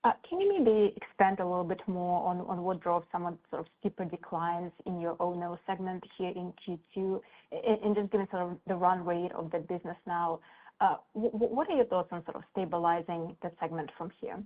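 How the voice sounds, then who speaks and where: Slightly low-voiced woman on a phone line. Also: a little fast. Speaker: financial analyst asking questions. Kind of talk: business Q&A on a call. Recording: thin muffled telephone line.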